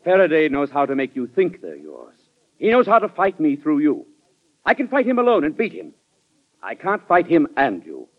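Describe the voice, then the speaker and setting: An actor playing a proud, self-righteous cabinet minister in an old-time radio drama, insistent and accusatory in a clipped delivery. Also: male voice, on the high side, wildly swooping pitch, normal speed. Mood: combative. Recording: muffled vintage radio drama recording.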